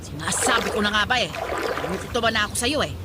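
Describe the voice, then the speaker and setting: A woman's voice: mid-range voice, expressive, fast, very noisy, natural voice. An actress playing a furious, scornful mother who interrogates and scolds in a Filipino radio drama. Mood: suspicious, angry. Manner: interrogating, scornful, explosive outbursts. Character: furious, scolding mother confronting her pregnant student daughter.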